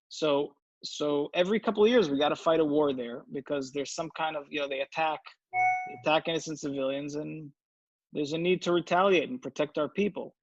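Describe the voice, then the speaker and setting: A man from Israel, a podcast guest, talking earnestly and pausing often to search for his words. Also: a little high, no echo, lo-fi sound. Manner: earnest, searching for words with many pauses and fillers. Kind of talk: casual interview conversation.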